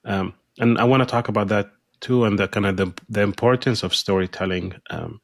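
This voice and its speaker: A man hosting a podcast interview, asking questions with lots of halting restarts and fillers. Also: lowish voice, vocal fry, no room sound, studio quality. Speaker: podcast host. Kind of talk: conversational interview.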